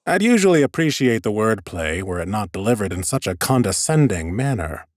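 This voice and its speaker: Male audiobook narrator: deep-leaning, animated but understated wit, scripted fantasy prose, very dry studio sound. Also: a little fast, no echo. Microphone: close studio microphone.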